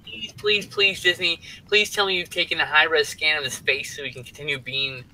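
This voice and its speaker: A man's voice: on the high side, fairly even intonation, normal speed, bright, forceful tone, poor audio. A man co-hosting a casual geek chat stream, riffing loosely and swearing casually.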